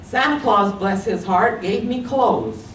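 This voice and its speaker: A woman's voice: mid-range voice, slow pace. An older woman with an American accent reads a personal story aloud expressively at a public reading. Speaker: writer reading own work. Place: public reading in a library room. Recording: echoey room, distant mic, faint noise.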